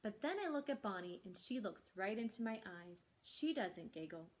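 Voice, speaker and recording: A woman's voice: medium pitch, expressive, moderate pace, lightly acted. Woman reading as an earnest, reluctant little girl. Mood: hopeful. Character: young girl reluctant reader, earnest, anxious, determined.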